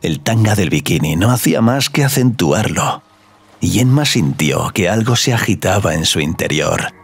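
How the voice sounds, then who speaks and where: A man's voice: deep voice, lively intonation, normal speed, creaky, slightly rough. A man narrating a scripted audio story.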